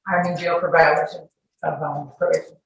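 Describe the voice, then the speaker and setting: A woman's voice: deep voice, level tone, brisk, creaky. A woman testifying as a witness in a courtroom in an informal, rambling, colloquial way. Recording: echoey courtroom, distant mic, faint noise.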